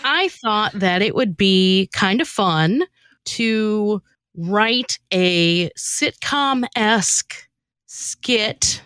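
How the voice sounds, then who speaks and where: A woman's voice: mid-range voice, expressive, very slow, fairly bright tone, clean tone, dry recording. A woman podcast host chatting casually as she introduces material she has written. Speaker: podcast host and skit writer. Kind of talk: casual chat.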